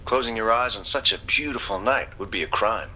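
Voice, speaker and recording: A man's voice: on the low side, a little fast, sharp tone, rough recording. A man voicing a suave, chatty, flirtatious drinks vendor in a video game, with a friendly salesman's patter. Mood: flirty, upbeat.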